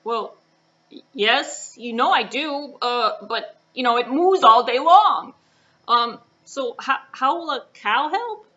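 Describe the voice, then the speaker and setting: A woman voicing an exasperated male farmer in a puppet show, whiny and hesitant, with drawn-out complaints and flustered stammering. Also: sits high, animated, bright, forceful tone, dull audio, heavily acted. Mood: flustered, doubtful.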